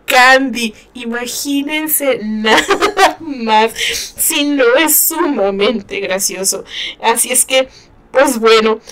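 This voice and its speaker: Middle-aged woman, relaxed book-club chatter, frequent laughs, voice a touch high. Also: slow, slight background noise. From Mexico. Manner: chatty, laughs often, many fillers. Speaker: book reviewer. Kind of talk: casual chat, reading and commenting on a novel.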